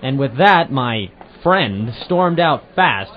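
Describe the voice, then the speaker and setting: Man playing wry private eye with lively pitch swings. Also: slow. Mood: narrating dryly. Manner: wry, sardonic. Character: hard-boiled, wisecracking male private eye narrating his case. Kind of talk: old-time detective radio drama, narration and dialogue. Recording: muffled amateur radio-drama recording with music.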